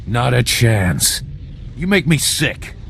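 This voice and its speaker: An actor playing a bitter, taunting renegade army captain in a video game, with a sneering, contemptuous manner. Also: male voice, medium pitch, sing-song, slow, vocal fry, a bit bright, a little hiss or hum, heavily acted. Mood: bitter contempt.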